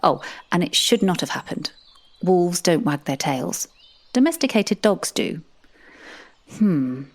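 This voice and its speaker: A woman playing a composed, wry supernatural narrator, telling a fantasy tale in a measured, deadpan manner. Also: lowish voice, full character voice. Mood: dryly amused. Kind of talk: scripted first-person fantasy narration.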